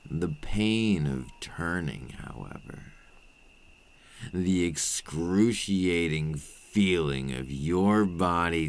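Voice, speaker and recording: Man as menacing male vampire, ASMR roleplay, gravelly, drawn-out deliberate delivery, silky, patient, taunting. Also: a bit bright, heavily acted. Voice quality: very deep, gravelly. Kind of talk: intimate ASMR roleplay acting. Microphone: close microphone.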